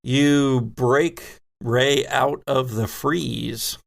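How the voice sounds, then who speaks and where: Male game master for horror tabletop show: slow, gravelly, medium-pitched narration. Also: fairly even intonation.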